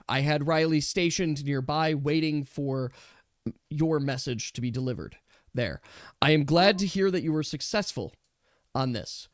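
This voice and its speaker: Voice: mid-range voice, no room sound, studio quality. A game master narrating a tabletop role-playing game in a casual, conversational way.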